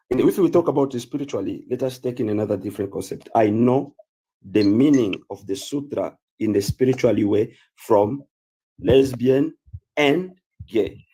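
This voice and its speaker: A man with Central African roots argues on a livestream call. His voice is husky and dark-toned, and he speaks haltingly, leaning on fillers as he works through a list. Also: medium pitch, poor audio. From Central Africa (Uganda/Congo roots). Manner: halting, repeats fillers, lists examples one by one. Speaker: livestream guest. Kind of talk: heated livestream debate.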